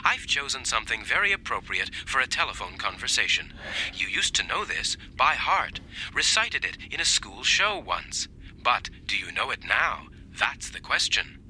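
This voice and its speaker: A man's voice: medium pitch, big pitch swings, normal speed, pushed and bright, a little hiss or hum, rough recording. A man playing a recorded telephone double in a radio drama, hearty and chummy, with a teasing, theatrical manner. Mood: needling.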